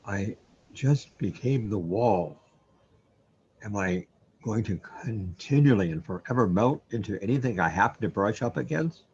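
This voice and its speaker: An actor playing a troubled, bewildered man in a surreal sci-fi radio drama, hesitant and halting as he muses aloud. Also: fairly low pitch, expressive, darkish tone, dull audio, slight background noise. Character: troubled, bewildered man overwhelmed by cosmic reality.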